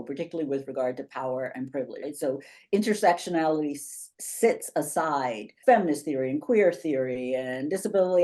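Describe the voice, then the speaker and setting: A woman in her fifties with a notably low voice speaks as an interview guest. She is articulate and animated, talking over a webcam from a home office on a video call. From Bahamas. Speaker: guest, professor and institute founder. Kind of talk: conversational interview answers.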